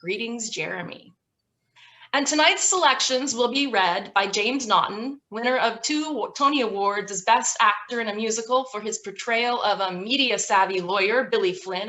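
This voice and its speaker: A woman introducing the guests of a virtual event, reading a prepared introduction in a brisk, list-like way over a video call. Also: medium pitch, pushed and bright, low-quality recording.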